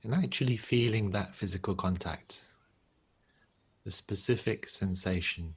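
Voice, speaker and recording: A man with a deep voice and a husky grain leads a guided meditation. He speaks gently and takes his time, leaving long pauses between phrases as a teacher would.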